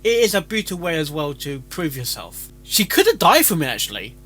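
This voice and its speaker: A man giving casual, rambling commentary, haltingly repeating and restarting his phrases. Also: fairly high pitch, wildly swooping pitch, sharp tone, breathy edge, soft background music. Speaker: reaction video host reviewing fan fiction.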